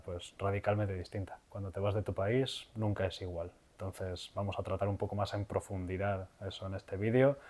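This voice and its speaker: A man's voice: on the low side, dark tone. A young man with a Castilian Spanish accent, co-hosting a podcast and chiming in casually. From Madrid, Spain. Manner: easygoing, chimes in with short agreements. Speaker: podcast co-host.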